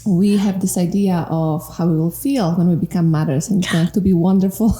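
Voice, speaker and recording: Polish woman in her 40s, v dark mellow voice, low-ish, echoey room, earnest podcast guest. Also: steady tone, fairly quick. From Poland. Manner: warm, earnest, laughs often. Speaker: guest relationship coach. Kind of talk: casual podcast conversation.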